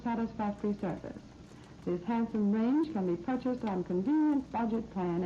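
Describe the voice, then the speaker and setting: An American woman hosting a scripted 1930s radio shopping program, giving promotional, instructive product talk. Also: a little high. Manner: promotional, instructive sales patter. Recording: dull, noisy 1939 radio disc recording.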